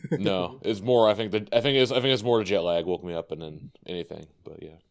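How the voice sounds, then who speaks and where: Man, podcast host, casual, fillers, laughs easily, low, smooth voice. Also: fairly quick.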